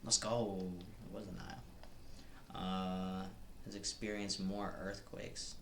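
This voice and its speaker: Low gravelly guy streaming a course, talking through quiz guesses, echoey room and a bit of noise. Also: flat delivery, slow. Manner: thinks aloud, guessing and second-guessing answers. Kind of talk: casual live-stream commentary. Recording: roomy with faint background noise.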